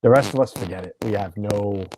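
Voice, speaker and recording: This is a chatty middle-aged American man who hosts a casual online interview, and he tends to ramble and restart his sentences. His voice is mellow and warm, and there is a faint hiss of background noise under it. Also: fairly low pitch, brisk. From United States. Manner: chatty, rambling, self-correcting. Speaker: podcast host. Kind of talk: casual video chat interview.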